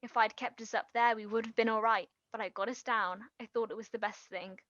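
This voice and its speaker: An actress playing an earnest, guilt-ridden young woman, halting and pleading, in a naturalistic stage drama. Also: fairly high pitch, fairly even intonation, a bit bright, no room sound, lo-fi sound, lightly acted. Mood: anguished, remorseful.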